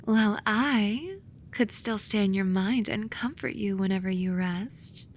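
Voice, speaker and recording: A woman voicing a soothing but snarky sloth demon in a romantic ASMR roleplay, soft and coaxing with a dry edge. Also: medium pitch, a little slow, a little hiss or hum, natural voice. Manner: soft, coaxing, dryly sarcastic, sometimes whispery.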